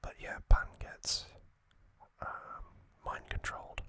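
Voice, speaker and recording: An ASMR host speaking in a breathy, unvoiced whisper, giving a hesitant, off-the-cuff recap. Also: slow pace, a bit bright.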